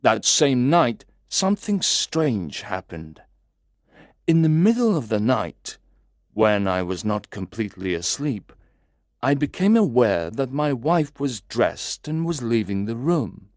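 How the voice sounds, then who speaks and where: A man narrating a first-person story in a slow, deliberate, carefully enunciated way, as if for language learners. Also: mid-range voice, big pitch swings, no room sound, studio quality.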